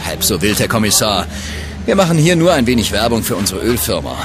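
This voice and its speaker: A smooth-talking company man in a children's radio play over music. He brushes things off matter-of-factly, with lively rises and falls. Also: lowish voice, a little fast. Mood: dismissive. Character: dismissive, smooth-talking oil company representative. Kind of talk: children's audio drama acting.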